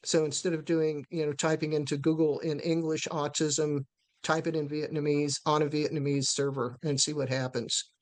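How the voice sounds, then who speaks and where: A woman presenting a live webinar, answering questions haltingly with many fillers and restarts. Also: low-pitched, level tone, a little mellow.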